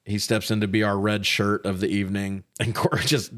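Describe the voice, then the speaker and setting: A man's voice: on the low side, little pitch movement, brisk. A man co-hosting a podcast, casually recapping a film in a sweary, jokey way. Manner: sweary, jokey, mimics characters' lines. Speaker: podcast co-host.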